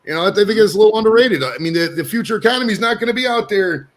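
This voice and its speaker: A brash man in his forties hosts a podcast from his home studio. He talks quickly and rambles, cursing freely, and his voice sits a touch higher than most men's. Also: lively intonation. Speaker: podcast host. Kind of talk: casual chat.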